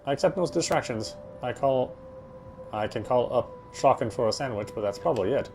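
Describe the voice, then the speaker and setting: Guy reading a visual novel out loud, dramatic narration, normal pitch, music loud behind him. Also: lively intonation, a little fast. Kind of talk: dramatic first-person narration read aloud.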